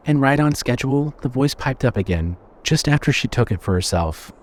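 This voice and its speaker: A man's voice: mid-range voice, expressive, brisk. A man reading scripted horror fiction as the narrator of an audio drama.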